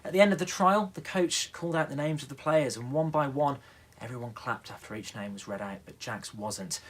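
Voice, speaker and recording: Male radio newsreader doing a kids bedtime story, mid pitch, bit husky. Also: fairly quick, a bit bright. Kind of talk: children's bedtime story narration.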